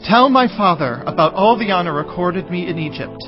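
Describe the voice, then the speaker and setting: A man's voice: high-pitched, expressive, moderate pace. An actor playing an emotional, powerful young male ruler in a dramatized audio Bible, speaking in an earnest, declamatory way. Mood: earnest, urgent. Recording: dull audio with music underneath.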